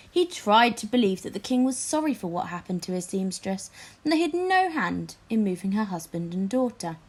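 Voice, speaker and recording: Woman reading a storybook, very animated. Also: medium pitch. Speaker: teacher reading aloud to pupils. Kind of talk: expressive storybook narration.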